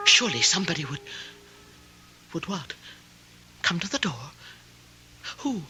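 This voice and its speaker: A woman's voice: medium pitch, bright, forceful tone. An actress playing a timid, lonely, put-upon woman, hesitant and plaintive, in old-time radio drama style on a noisy old recording.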